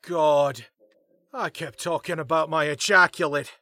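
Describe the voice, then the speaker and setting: A man's voice: a little high, unhurried, bright, forceful tone, dry recording, clean studio sound. A man playing a smug, sleazy, self-absorbed political staffer in an audio comedy, with a disdainful manner. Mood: mortified. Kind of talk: audio comedy drama acting.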